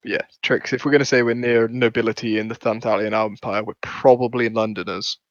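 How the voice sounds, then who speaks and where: A man's voice: fairly low pitch, steady tone, fairly quick, dry recording. A man playing in a tabletop game, talking casually and asking lots of questions. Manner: chatty, asks lots of questions. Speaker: player. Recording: dull lo-fi voice chat mic.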